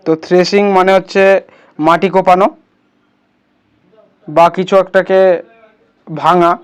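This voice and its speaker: A man's voice: high voice, steady tone, a little slow, clean tone. A man hosting a casual step-by-step tutorial, instructing his viewers like friends. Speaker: tutorial host.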